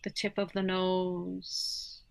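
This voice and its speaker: A woman leads a guided relaxation in a slightly low voice with very little rise and fall. She is soothing and unhurried, leaving long pauses between short, gentle instructions. Her delivery is very slow throughout. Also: monotone, creaky. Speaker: yoga nidra guide.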